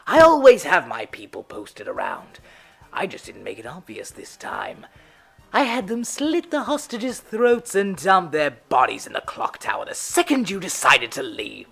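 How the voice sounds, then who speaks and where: A woman playing a sarcastic, sinister male clown villain in an audio drama, with a creaky voice and mocking, drawn-out delivery that swings from murmurs to shouts. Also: sits low, huge pitch swings, faint music behind, exaggerated voice. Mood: cold, gloating.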